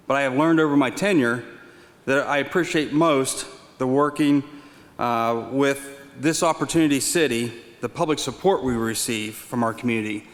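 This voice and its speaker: A middle-aged man, a deputy police chief, reading a prepared speech in a formal, measured way. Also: medium pitch. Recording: echoey reverberant hall.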